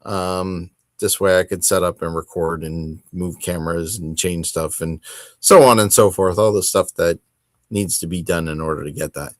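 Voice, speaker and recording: A man hosting a hobby podcast, talking casually on his own in a rambling, halting and self-deprecating way. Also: low-pitched, steady tone, dry recording, clean studio sound. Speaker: host of a tabletop wargaming podcast. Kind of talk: casual solo chat to camera.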